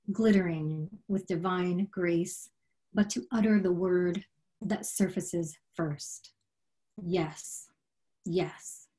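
A middle-aged woman giving a poetry reading in a measured, deliberate, solemn delivery over a video call. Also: lowish voice, mellow, poor audio. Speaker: poet reading her work. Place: small room at home, on a video call. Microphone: computer microphone on a video call.

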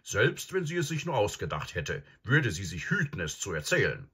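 A grave old professor acted out by a man, low and husky, calm and probing. He ponders each point with absent-minded hums, as in a storybook reading. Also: exaggerated voice. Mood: musing, earnest. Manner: deliberate, pondering, with hums and murmurs. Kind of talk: audiobook character acting.

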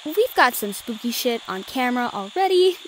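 A woman's voice: on the high side, sing-song, normal speed, slight background noise, poor audio. A woman acting a cocky young ghost-hunting vlogger in an audio drama, brash and teasing. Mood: nervous. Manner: brash, teasing, talks herself up.

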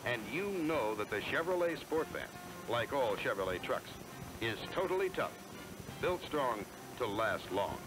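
A man's voice: medium pitch, very animated, measured pace, low-quality recording. An American man reading scripted promotional narration in a polished, emphatic salesman style, on a 1970s film soundtrack. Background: music.

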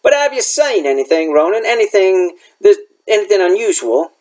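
A man puts on a gruff, protective woodsman for a storybook. He speaks bluntly in folksy, rough grammar, and the pitch runs high for a man. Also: very animated, moderate pace, a little mellow. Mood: questioning. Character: gruff, protective, plain-spoken male forest guide. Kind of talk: storybook character voice.

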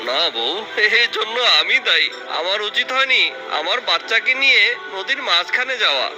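A performer voicing a grieving cartoon father who blames himself, speaking gravely and with remorse. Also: medium pitch, expressive, moderate pace, music in the background, close to an everyday voice, poor audio. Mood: guilty, grieving. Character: remorseful adult father blaming himself for his son's death. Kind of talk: melodramatic cartoon dubbing.

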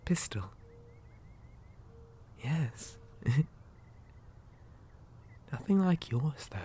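A man playing a creepy, possessive living doll boy in an ASMR roleplay, soft and often whispering, with gentle, unsettlingly polite childlike sweetness. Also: a little high, husky, full character voice. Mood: playfully menacing.

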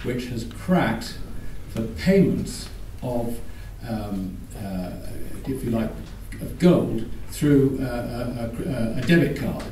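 A middle-aged man with a dark, mellow voice speaking from the floor of a conference room. He talks briskly but trips over fillers. The sound is roomy and distant, with faint noise behind. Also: mid-range voice, lively intonation. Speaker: audience member. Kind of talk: informal spoken pitch. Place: wood-panelled hall, large indoor room. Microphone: handheld mic, far camera. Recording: echoey hall from far off, faint noise.

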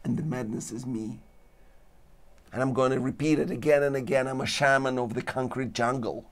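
A man hosting a talk show, declaiming in dramatic lists while his voice is going hoarse. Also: mid-range voice, fairly even intonation, moderate pace, slight background noise. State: losing his voice. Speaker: show host. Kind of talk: rambling philosophical monologue. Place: studio with green-screen backdrop.

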